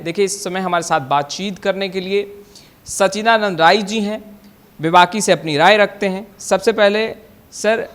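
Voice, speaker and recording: Slightly high-voiced male news reporter. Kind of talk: news introduction and interview questions.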